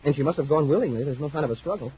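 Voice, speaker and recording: An American actor playing an eager, respectful young space cadet sidekick in a vintage radio adventure serial. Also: male voice, on the high side, rapid-fire, lightly acted. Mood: puzzled, concerned. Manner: eager, boyish, deferential. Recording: muffled, faintly noisy vintage radio recording.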